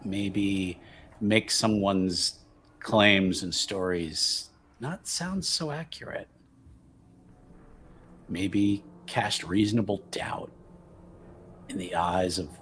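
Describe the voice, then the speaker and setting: Man voicing a slow, scheming low-voiced rogue. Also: a bit bright, slight background noise, exaggerated voice. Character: smooth scheming male rogue, soft-spoken and calculating.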